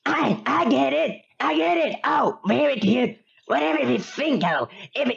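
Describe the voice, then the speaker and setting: A whiny, bossy little cartoon boy for a comedy reading. The pitch is very high and pinched with a thick creak, and the delivery is sarcastic and exasperated, on slightly muffled audio. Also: expressive, measured pace, a bit bright, exaggerated voice.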